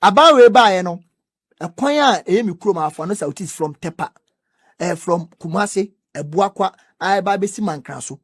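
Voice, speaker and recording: A man with a Ghanaian accent in an animated political discussion, talking emphatically and repeating phrases for stress. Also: sits high, normal speed, husky, no echo.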